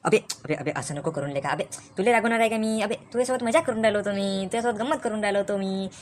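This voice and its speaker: A woman's voice: mid-range voice, fast, a little hiss or hum, lightly acted. A woman voicing a hot-tempered, threatening male tuition teacher in a comic cartoon, with a Vidarbha Marathi accent and scolding, repeated questions. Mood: pleading, appeasing.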